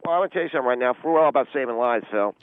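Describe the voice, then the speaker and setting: A man's voice: mid-range voice, lively intonation, rapid-fire, slight background noise, natural voice. A man voicing a clueless, self-important male guest on a radio comedy phone-in, rambling and insistent, over a thin phone line. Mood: oblivious.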